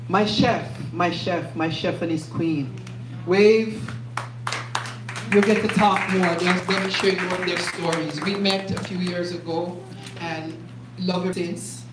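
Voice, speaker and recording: A woman's voice: on the low side, a little slow. A woman hosting a community event, speaking with emphatic, repetitive energy to the crowd. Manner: warm, repetitive, emphatic, animated. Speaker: event host. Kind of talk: live event speech to a crowd. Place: community event space indoors. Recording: echoey room recorded from a distance, noisy.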